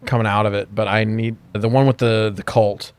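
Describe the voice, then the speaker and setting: A man co-hosting a podcast, chatting casually about films with animated, joking reactions and free swearing. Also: on the low side, moderate pace, clear, resonant tone, dry recording. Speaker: podcast co-host. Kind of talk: casual film review chat.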